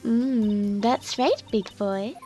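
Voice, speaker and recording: A high-pitched flirty ditz. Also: female voice, very slow, mellow. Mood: coy, flirty. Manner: coy, airheaded, breezy. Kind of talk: video game fandub acting. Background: music.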